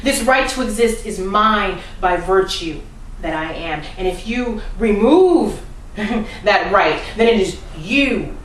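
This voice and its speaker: A woman performing a dramatic monologue as a defiant, oppressed defendant, speaking deliberately and solemnly with weighted pauses. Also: mid-range voice, lively intonation. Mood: quiet accusation. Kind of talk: dramatic courtroom monologue reading. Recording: roomy, distant mic with faint noise.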